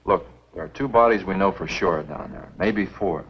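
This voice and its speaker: Low husky game warden, firm. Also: male voice, moderate pace. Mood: firm, insistent. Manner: firm, matter-of-fact. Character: stubborn, principled male game warden, level-headed and firm. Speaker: film actor. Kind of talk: 1950s B-movie acting. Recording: dull 1950s film soundtrack.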